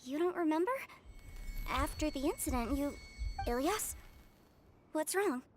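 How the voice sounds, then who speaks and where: High-voiced, protective younger sister. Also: female voice, lively intonation, unhurried. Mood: concerned. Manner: frank, familiar. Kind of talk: video game voice acting. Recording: music under the dialogue.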